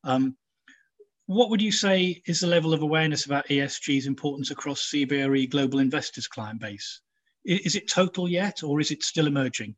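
A man from Nigeria hosting a podcast interview, measured and trailing into low asides. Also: animated. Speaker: podcast host.

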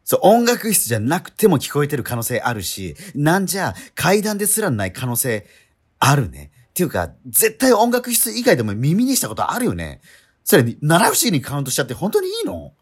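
A man's voice: on the high side, huge pitch swings, slightly rough, exaggerated voice. An actor playing an exasperated schoolboy straight man in a comedic radio drama, quibbling and retorting in disbelief. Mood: wearily doubtful. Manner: incredulous, quibbling, rapid retorts.

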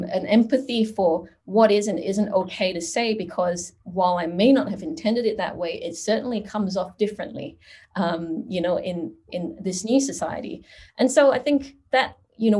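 A middle-aged woman from Singapore speaks as an interview guest. She sounds measured and reflective, weighing her words, and often says "you know" as she goes. Her voice sits at an ordinary pitch for a woman. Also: warm tone. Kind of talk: conversational interview.

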